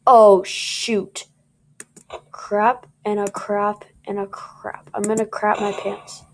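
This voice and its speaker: A woman's voice: medium pitch, little pitch movement, slow pace, a little mellow. A young woman with a scratchy voice doing casual gameplay commentary, jittery and cursing as she talks to viewers.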